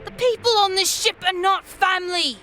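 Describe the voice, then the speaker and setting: A woman's voice: very high-pitched, moderate pace, over loud music. A woman voicing a young orphan boy, a protective and stubborn older brother, in an audio drama. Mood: earnest, protective.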